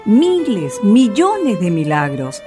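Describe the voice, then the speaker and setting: A woman with a Chilean accent narrating a scripted radio story in an expressive, deliberate way. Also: medium pitch. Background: music.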